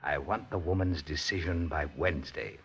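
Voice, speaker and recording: An actor playing a menacing, domineering communist boss in a 1950s radio drama, formal, sardonic and commanding, with a rough voice. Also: male voice, very deep voice, moderate pace, muffled recording, heavily acted. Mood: demanding.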